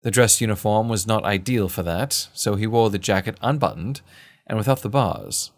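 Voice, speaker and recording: Low-voiced man as a formal storytelling gentleman adventurer. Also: lightly acted. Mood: measured, grave. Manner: formal, literary, measured phrasing. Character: male first-person narrator, genteel adventurer recounting a kidnapping case. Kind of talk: scripted period-story narration.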